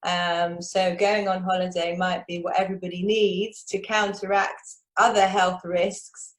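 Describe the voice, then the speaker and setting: A woman's voice: lowish voice, flat delivery, measured pace. A woman doctor giving informal advice as an interview guest, chatty and conversational. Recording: roomy, echoey video call.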